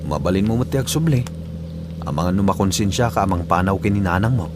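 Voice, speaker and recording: A man's voice: low-pitched, animated, quick pace, background noise. A man with an Ilocano Filipino accent playing a dutiful, earnest young provincial son in a drama, subdued and agreeable. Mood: reassuring. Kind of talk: amateur drama dialogue.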